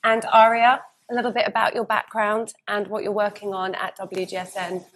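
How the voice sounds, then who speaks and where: A woman's voice: mid-range voice, level tone, moderate pace, fairly bright tone, roomy sound, slight background noise, low-quality recording. A woman hosting and moderating a live panel discussion, introducing guests and giving brief upbeat affirmations. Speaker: host, moderator.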